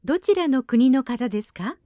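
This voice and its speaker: A woman giving short, clear phrase prompts for a language lesson at a brisk pace. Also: high voice, animated, mellow, dry recording, clean studio sound.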